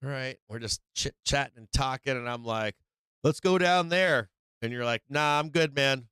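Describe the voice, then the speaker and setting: A man's voice: medium pitch, moderate pace, bright, forceful tone, clear, resonant tone, dry recording. Rambling casual male market streamer. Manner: rambling, slangy, many fillers and restarts. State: losing his voice. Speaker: live stream market show host.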